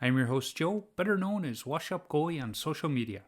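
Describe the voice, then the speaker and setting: A man's voice: on the high side, animated, brisk. A middle-aged man from Chicago hosting a casual podcast, chatty and rambling with restarts. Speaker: podcast host.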